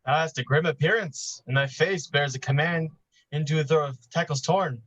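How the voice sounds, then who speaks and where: A man's voice: a little high, normal speed, a bit bright, no room sound, highs cut off. An actor playing a weary, bitter man defying his hated enemy in grave, declamatory Shakespearean verse. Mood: weary, defiant. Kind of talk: Shakespearean staged reading.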